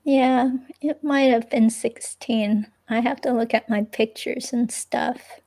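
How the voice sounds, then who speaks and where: A woman reading the part of an evasive, unsure ex-girlfriend in a police interview, with a creaky, hesitant, rambling delivery. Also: on the high side, steady tone, slow pace, dark tone. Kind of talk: dramatic reading of an interview transcript, conversational.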